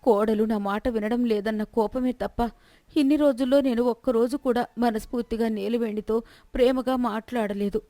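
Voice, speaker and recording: A woman plays a proud, bossy older mother-in-law from a storybook tale. Her voice is on the high side and recorded dry. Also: fairly even intonation, normal speed, mellow, no echo, natural voice. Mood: remorseful.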